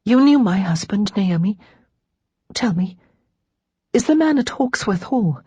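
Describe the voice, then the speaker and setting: A woman voicing a gentle, earnest young widowed lady of rank in an audiobook. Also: medium pitch, expressive, measured pace, husky, no echo, pitched above the performer's own voice. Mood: stunned, faint. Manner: gentle, grave, genteel. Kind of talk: audiobook character dialogue.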